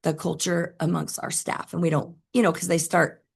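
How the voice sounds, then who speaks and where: A woman with a hoarse voice, reporting at a school board meeting in a chatty way with many fillers and restarts. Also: on the low side, level tone, normal speed. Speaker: school administrator.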